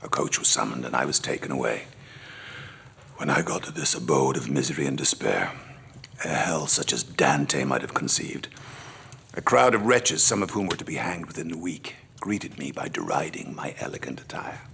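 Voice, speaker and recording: An actor playing a worldly, wry gentleman narrating his memoirs theatrically, with a gravelly voice that drops toward a whisper. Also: rumbling low, animated, moderate pace, slight background noise, exaggerated voice. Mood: dramatic, weary. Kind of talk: dramatic first-person memoir narration.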